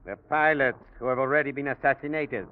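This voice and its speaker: An actor playing an excitable but courteous policeman with a Spanish accent in a 1940s radio drama. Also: medium pitch, brisk, close to an everyday voice. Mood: firm, explanatory. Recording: dull old radio recording.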